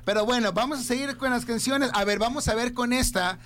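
Mexican male radio host, high voice, rambling and playful. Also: brisk, fairly bright tone. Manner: playful, laughs often, rambling. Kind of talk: casual radio banter.

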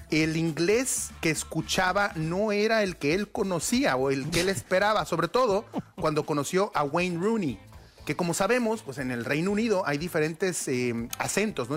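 A man's voice: fairly high pitch, animated, moderate pace, fairly bright tone, soft background music. A man with a Mexican accent hosting a casual sports chat, explaining things as he goes. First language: Spanish. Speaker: host presenting sports news segments.

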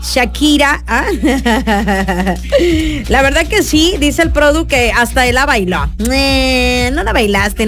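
A bubbly woman DJ with a Mexican accent talks in a high, bright register, her voice a little rough and hoarse. She chats warmly with listeners and laughs easily from a radio booth. Soft music plays faintly beneath her. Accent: Mexican Spanish. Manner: chatty, laughing, greeting listeners. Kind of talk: lively radio show chat.

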